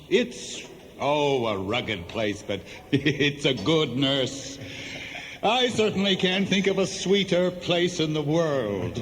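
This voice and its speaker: An actor playing a crafty, weary Greek hero recounting his troubles in a theatrical, measured radio play style. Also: fairly high pitch. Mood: proud, reflective. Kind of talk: 1970s radio play acting, storytelling. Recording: noisy, long hall reverb, cassette radio play.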